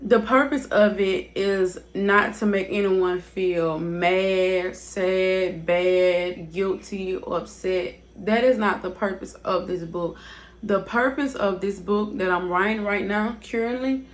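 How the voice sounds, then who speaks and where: A woman's voice: medium pitch, fairly even intonation, slow. A young woman in her mid-twenties talks straight to her viewers in a casual, unscripted way. She rambles, circles back and leans on fillers as she goes. Her voice is picked up by a device's built-in mic instead of a proper microphone. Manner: casual, rambling, repeats herself, leans on "basically" and "like". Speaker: vlogger. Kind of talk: candid personal vlog talk. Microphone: device's built-in mic, not her usual microphone.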